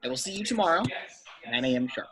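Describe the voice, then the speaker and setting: A man playing a gruff, blunt veteran secret agent with clipped, wry lines in an amateur table read. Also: mid-range voice, animated, fast talker, a crowd in the background, lightly acted. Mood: breezy. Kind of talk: amateur at-home table read of a film script.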